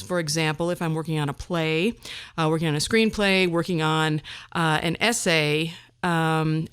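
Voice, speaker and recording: A woman's voice: lowish voice, fairly bright tone, clean tone. A woman hosting a podcast solo, talking casually in a wry, self-deprecating way. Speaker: podcast host.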